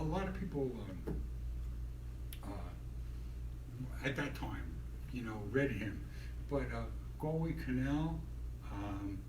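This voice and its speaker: Man addressing an audience in an echoey, noisy room. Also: mid-range voice. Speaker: poet speaking at his book launch. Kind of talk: informal public reading and remarks to an audience. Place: indoor event room at a college. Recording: echoey room, distant mic, heavy noise.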